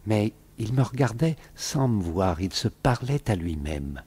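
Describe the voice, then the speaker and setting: A very low, mellow male voice narrates a radio mystery as the hero's loyal friend. It is husky, measured and literary. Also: sing-song, heavily acted. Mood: reflective. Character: Lupin's loyal male friend, recounting the adventure. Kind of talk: scripted radio drama narration.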